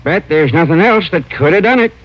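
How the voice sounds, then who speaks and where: A man's voice: high voice, lively intonation, a little fast. A man playing a stubborn small-town coroner with a rural Southwestern American accent in an old radio drama. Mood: puzzled. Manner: folksy, plain-spoken. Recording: dull, faintly noisy old radio recording.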